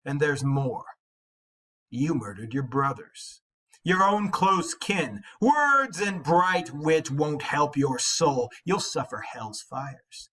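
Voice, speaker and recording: Boastful warrior hero, read by a man: higher male voice, scornful, declamatory, epic-poem delivery. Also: animated, bright, forceful tone, husky, exaggerated voice. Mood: scornful, defiant. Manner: declamatory, drawn-out emphasis. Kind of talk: dramatic reading of epic poetry.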